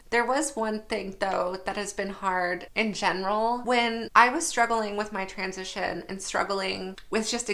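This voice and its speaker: A young woman, a nursing student, talking candidly to the camera in a personal vlog, her voice slightly raspy at times. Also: mid-range voice, pushed and bright. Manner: candid, reflective, laughs at herself.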